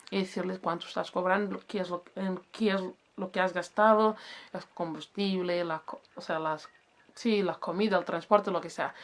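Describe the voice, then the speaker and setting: A woman whose first language is Portuguese, casually explaining self-employment rules and often stopping to search for words and correct herself. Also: lowish voice. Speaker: business advice vlogger.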